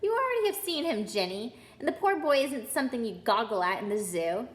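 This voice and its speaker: A woman's voice: a little high, big pitch swings, a little fast. A woman voicing a fond but firm, bustling mother in a storybook read-aloud. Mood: scolding.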